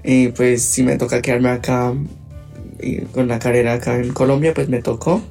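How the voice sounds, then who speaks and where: Easygoing teen guy answering questions, soft music in the back. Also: medium pitch, flat delivery, normal speed, vocal fry. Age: teens. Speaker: student being interviewed. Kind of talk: casual interview answers.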